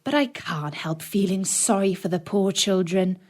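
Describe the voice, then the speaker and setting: A woman's voice: mid-range voice, moderate pace, close to an everyday voice. A woman voicing a poor, worried, tender-hearted woodcutter father in a storybook, earnest and careworn. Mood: anxious.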